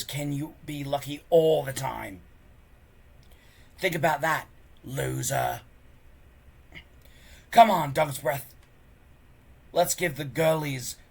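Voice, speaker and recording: A reader voicing a boastful, contemptuous boy bully in a children's storybook, loud and sneering. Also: mid-range voice, vocal fry, breathy edge, voice pushed up, heavily acted. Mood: taunting.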